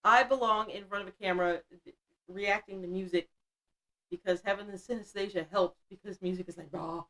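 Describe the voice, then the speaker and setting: A woman's voice: medium pitch, fairly even intonation, a little fast, fairly bright tone, low-quality recording. Chatty woman in her fifties. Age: 50s. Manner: chatty, laughs often, blunt and self-mocking. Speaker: livestream host. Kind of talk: casual livestream chat reading the chat.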